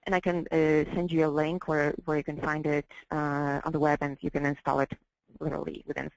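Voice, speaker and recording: A woman working as a software trainer, walking through slides in a deliberate, somewhat hesitant way and repeating phrases as she explains. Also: low voice, level tone, gravelly, no echo, rough recording. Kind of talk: slide-based training walkthrough.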